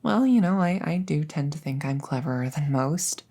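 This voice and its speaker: A woman playing a coy, flustered young crown princess in an intimate audio roleplay, speaking in a halting, stammering, nervous way. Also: low-pitched, expressive, warm tone. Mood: curious, coy. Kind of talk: intimate audio roleplay acting addressed to the listener.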